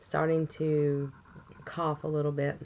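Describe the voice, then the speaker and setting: Woman w a cold, hoarse, kinda low voice, just chatting to her camera w lots of ums. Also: flat delivery, slow, darkish tone, slight background noise. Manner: chatty, rambling, frequent ums. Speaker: vlogger. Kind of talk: casual update video.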